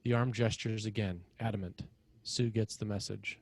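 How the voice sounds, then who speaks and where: A man with a fairly low voice narrates a screenplay. He reads out scene headings and action as scripted narration for a table read. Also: steady tone, normal speed, darkish tone.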